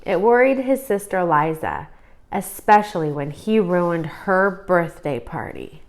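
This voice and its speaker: A woman narrating a children's storybook in an expressive read-aloud manner. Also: on the low side, unhurried. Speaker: storybook reader.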